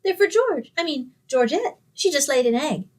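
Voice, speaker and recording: A woman's voice: sits high, expressive, moderate pace. A woman playing a sweet, naive, scatterbrained young woman in an old-time radio sitcom, earnest and oblivious. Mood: innocent, matter-of-fact.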